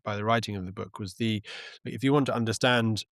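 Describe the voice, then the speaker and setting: A man speaking as an interview guest, explaining things in a thoughtful, halting, conversational way. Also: fairly low pitch, fairly bright tone, no room sound, studio quality. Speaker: interview guest, journalist and author.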